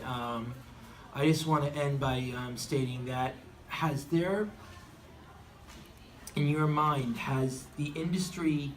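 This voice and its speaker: A man conducting an earnest live interview, asking wordy, deliberate questions. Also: mid-range voice, a bit bright, low-quality recording. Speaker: interviewer, aviation enthusiast. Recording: faint background noise, live event.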